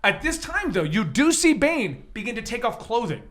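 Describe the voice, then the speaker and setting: A man's voice: very high-pitched, sing-song, moderate pace, a bit bright. A man casually narrating a tabletop game as game master, jokey and given to chuckling. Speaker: game master of a tabletop role-playing show.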